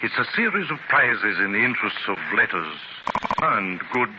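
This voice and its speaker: A man's voice: on the low side, big pitch swings, normal speed. A man acting in a 1930s radio drama as a polite, admiring private secretary, with a theatrical, deferential manner. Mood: informative. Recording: scratchy, muffled 1930s radio transcription.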